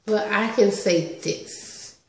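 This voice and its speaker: A woman in her thirties chatting casually on a home vlog, animated and talkative, recorded on a webcam in her living room. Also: mid-range voice, takes it slowly, echoey room. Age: 30s. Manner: chatty, animated with lots of hand gestures. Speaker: vlogger. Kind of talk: casual story-time vlog. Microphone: webcam close up.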